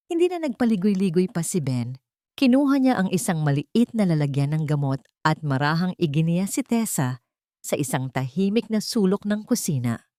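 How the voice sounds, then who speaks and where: Low-voiced woman narrating a love story. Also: warm tone, no room sound, studio quality. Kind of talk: dramatic scripted narration of a Tagalog love story.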